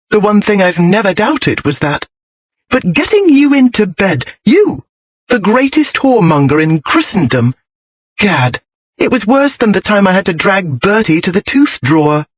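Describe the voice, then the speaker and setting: A man's voice: sits high, no echo, pitched above the performer's own voice. A man voicing a strong-willed, outspoken young lady in an audiobook, with forthright, emphatic period speech. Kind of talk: audiobook character voice.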